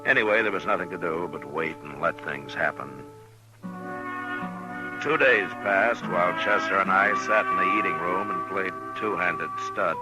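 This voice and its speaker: An actor playing a steady, watchful marshal in an old-time radio western, speaking in a measured, probing, laconic way. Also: male voice, deep voice, close to an everyday voice, low-quality recording. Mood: measured narrating. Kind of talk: old-time radio western drama, partly first-person narration. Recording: old radio recording with music.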